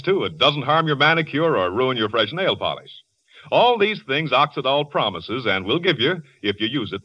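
A man reads an old-time radio advert in a breezy, chatty sales pitch. His tone is bright and forceful, with a lively rise and fall. The sound is dry, dull and lo-fi. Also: no room sound. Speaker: announcer.

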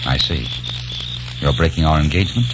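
A man's voice: extremely low pitch, brisk, background noise. An actor playing a mysterious man who seems to know the future, in an old radio drama, talking in a soothing, persuasive way. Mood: coaxing. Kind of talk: 1940s radio acting. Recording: old radio recording with music bed.

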